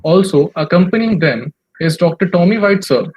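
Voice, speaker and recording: A conference anchor formally thanking a speaker and introducing the next panel from a script. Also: female voice, fairly low pitch, animated, moderate pace, a little mellow, husky, low-quality recording. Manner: formal, effusive, reading from a script.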